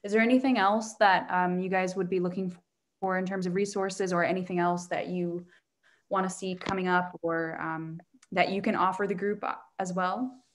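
A woman hosting a workshop on a video call, measured and organized. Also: fairly low pitch, steady tone. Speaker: workshop host.

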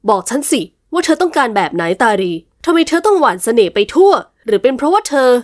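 A woman's voice: high-pitched, very animated, fast, voice pushed up. A woman voicing a furious, jealous young man who speaks harshly through gritted teeth, in a storybook reading. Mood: accusing.

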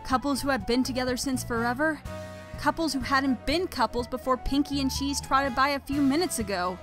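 Woman narrator reading a story with feeling, highish voice, soft music underneath. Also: brisk. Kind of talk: expressive scripted story narration.

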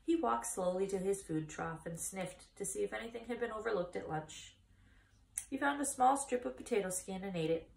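A woman in her thirties reads a children's book aloud. Her mid-pitched voice moves up and down expressively, recorded at home with audible room echo. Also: animated, normal speed, creaky, darkish tone. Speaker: story reader. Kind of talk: children's storybook read aloud. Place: small indoor room against a bare wall.

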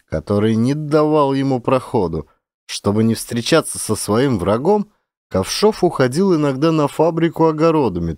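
A man narrating a literary story as an actor reading an audiobook, in an expressive storytelling manner. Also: medium pitch, dry recording, clean studio sound. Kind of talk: dramatic scripted literary narration.

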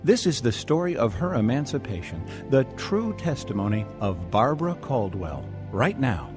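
A man announces a scripted radio program with measured drama. His mid-range voice rises and falls widely, over clear music. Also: mid-range voice, very animated.

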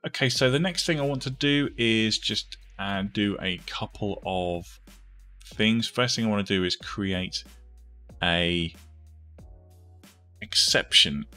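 Male tutorial host, low and unhurried with a bright edge, informal thinking-aloud delivery, music and typing behind. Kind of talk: step-by-step coding tutorial narration.

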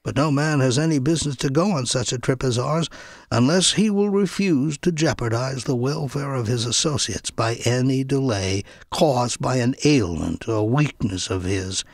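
A man narrating a first-person memoir as an audiobook, with deliberate, emphatic, dramatic storytelling. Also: mid-range voice, animated, no echo, studio quality. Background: music.

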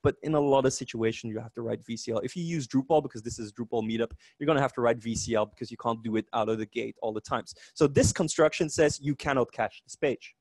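A man with a Dutch accent gives a lively, joking technical talk at a meetup. His voice sits at a middle pitch and sounds dry and close, with little room echo. He often restarts his sentences as he explains. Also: quick pace, few pauses, slightly rough. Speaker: conference speaker.

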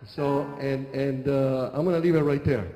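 A middle-aged man from Argentina, a native Spanish speaker with an Argentine accent, preaching a sermon as a bishop. He talks into a handheld mic, telling humorous stories with halting restarts and many fillers. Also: medium pitch, fairly even intonation, warm tone, a little hiss or hum. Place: large church sanctuary on a stage. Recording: echoey church hall with music.

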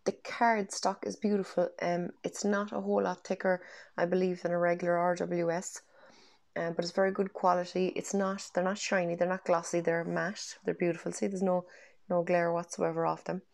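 A woman in her thirties with an Irish accent talks eagerly to camera from her bedroom, her voice a little low and stuffy from a cold. She rambles happily with frequent ums, recorded on a wired earphone mic. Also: level tone, moderate pace, gravelly, darkish tone. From Ireland. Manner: chatty and enthusiastic, lots of ums. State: congested from a cold. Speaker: tarot deck reviewer. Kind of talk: casual vlog chat. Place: bedroom at home, indoors.

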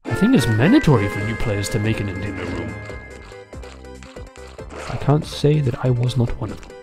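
A man talks casually through a video game in a dryly joking way. His pitch moves around in a lively fashion at a middling height, with light music playing behind him. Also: normal speed. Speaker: gaming video narrator. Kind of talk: casual gameplay commentary.

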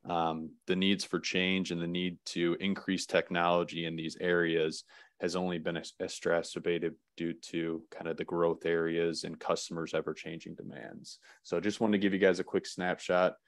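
A man presenting slides in an online webinar, relaxed, with many "um" fillers and restarts. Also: low voice, normal speed, no echo, clean studio sound.

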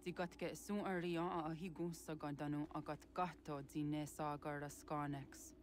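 A woman voicing a low, solemn guiding woman. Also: flat delivery, moderate pace, slight background noise, natural voice. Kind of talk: game dialogue in a native language.

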